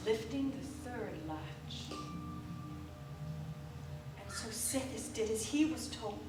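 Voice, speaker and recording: A woman giving a theatrical, measured reading of a literary story as one voice in a stage ensemble. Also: a little high, expressive. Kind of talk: dramatic literary storytelling, scripted narration. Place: theatre stage, live performance. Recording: echoey live theatre, distant, music behind. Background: music and sound design.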